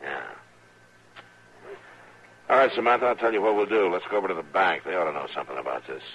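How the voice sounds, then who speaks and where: A calm, authoritative western lawman with a deep, forceful American voice. He speaks in terse, measured, dry phrases, on a slightly hissy old radio drama recording. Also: highs cut off. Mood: calm, attentive. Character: steady, authoritative frontier lawman, calm and reassuring. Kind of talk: 1950s radio western acting.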